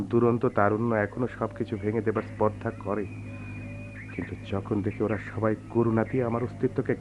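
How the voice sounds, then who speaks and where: A man's voice: fairly low pitch, close to an everyday voice. An actor as a bitter, wistful young man, muffled noisy recording. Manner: wistful, poetic, theatrical delivery. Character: bitter, melancholy young man who lost a leg. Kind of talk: Bengali radio drama acting. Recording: dull, noisy old radio drama recording.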